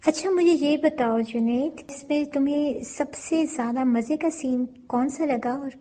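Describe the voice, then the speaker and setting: A woman with a high, mellow voice hosts a chatty interview show. She takes her time over each question and slips English phrases in as she goes. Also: measured pace. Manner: mixes English phrases into talk. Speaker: host. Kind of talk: casual radio-show interview.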